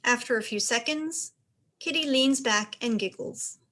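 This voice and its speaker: A woman's voice: on the high side, measured pace, a bit bright. A woman narrator reading stage directions aloud as scripted narration.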